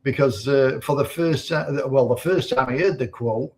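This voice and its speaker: A man from Manchester, co-hosting a live stream and explaining in a relaxed way. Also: mid-range voice, normal speed. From Manchester, England. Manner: relaxed, explanatory, slight restarts. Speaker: co-host. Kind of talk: casual live-stream chat.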